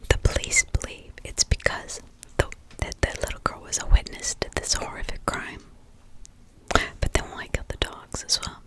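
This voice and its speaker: A woman who is a parent, whispering close to the mic as she retells a crime story, soft, halting and emotional. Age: adult parent. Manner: halting, with many restarts and pauses. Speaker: true crime ASMR storyteller.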